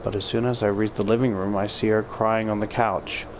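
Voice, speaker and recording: A man's voice: fairly low pitch, flat delivery, a little fast, slight background noise, low-quality recording. A man narrating a first-person story dramatically and expressively, as if reading a visual novel aloud. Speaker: visual novel reader, YouTuber. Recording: loud background music. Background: loud music.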